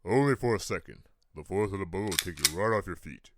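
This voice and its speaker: A man playing a gruff, doting vigilante father in a comic dub, coaxing and commanding in a matter-of-fact way. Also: deep voice, animated, fast talker, heavy background noise. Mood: calm, coaxing. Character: gruff, doting vigilante father drilling his young daughter. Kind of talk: comic book dub acting.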